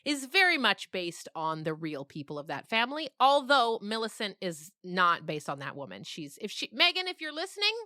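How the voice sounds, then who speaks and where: A woman's voice: mid-range voice, very animated, moderate pace, hardly any pauses, pushed and bright, dry recording, studio quality. A woman novelist being interviewed, telling stories conversationally in an emphatic way and often checking in with the listener. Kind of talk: animated conversational storytelling.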